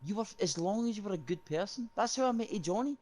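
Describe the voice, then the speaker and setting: A man's voice: high voice, lively intonation, quick pace, darkish tone. A young Scottish man, a game player, arguing confrontationally and firing repeated questions at the other player. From Scotland. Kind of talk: heated in-character argument.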